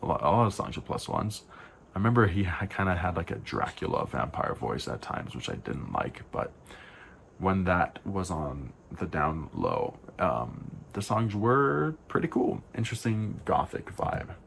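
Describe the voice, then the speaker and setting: A young man with a deep voice talking casually through album reviews, frank and rambling, correcting himself with sighs and asides. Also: big pitch swings, normal speed, vocal fry, a bit bright, slight background noise. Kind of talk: casual spoken album rundown.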